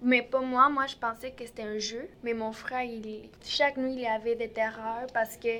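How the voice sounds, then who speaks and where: Young woman, in her french, kinda high voice, pretty monotone, lots of euh and restarts. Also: normal speed. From Mexico. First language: Spanish. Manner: hesitant, many restarts and fillers, soft laughs. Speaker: interviewee. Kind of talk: casual personal account.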